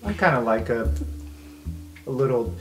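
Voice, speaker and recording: A man's voice: medium pitch, lively intonation, normal speed, fairly bright tone, faint music behind, lightly acted. A man playing a guarded, self-deprecating vampire student who speaks bluntly and hesitantly in improvised tabletop roleplay. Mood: awkward, dry.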